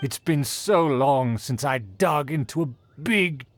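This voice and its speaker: A man's voice: mid-range voice, animated, takes it slowly, a little hiss or hum. An actor playing a haughty, pompous nobleman in a video game, speaking in a formal, grand manner. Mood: savoring. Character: pompous haughty aristocrat, proud of his noble clan. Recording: music playing behind the voice.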